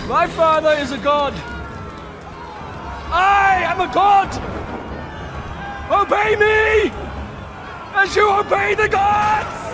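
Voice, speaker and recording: An arrogant, cruel tyrant who believes himself a god, in a dramatic video game style. His voice sits extremely high and moves slowly, imperious and bellowing, over a noisy crowd. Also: fairly even intonation. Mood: raging, commanding. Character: arrogant cruel tyrant, emperor's son claiming godhood.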